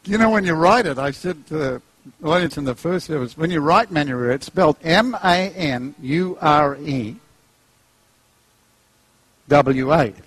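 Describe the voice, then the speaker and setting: A middle-aged New Zealand preacher speaking in a slightly high, husky voice. He jokes, acts out characters, and lets his pitch leap high for effect. The sound is dry and close. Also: animated, a little slow, long pauses, fairly bright tone, no echo, rough recording. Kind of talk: animated sermon with humor.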